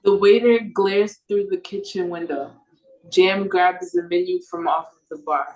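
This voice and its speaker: Low-voiced woman narrating in an echoey room. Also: a little slow, a little mellow. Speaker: narrator reading stage directions. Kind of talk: scripted narration. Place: echoey room, over a video call. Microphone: video call. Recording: roomy, echoing video-call audio.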